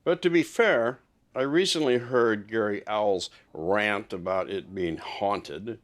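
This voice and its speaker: A man's voice: fairly low pitch, slow pace. A man playing a polite, helpful small-town sheriff's deputy in an audio drama. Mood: thoughtful.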